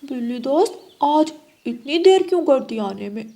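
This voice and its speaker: An eager young crow boy in a children's cartoon, voiced by a woman, trusting and stubborn, chattering away to his friends. The voice is high, with a darker, softer tone. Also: lively intonation, moderate pace. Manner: eager, cheerful.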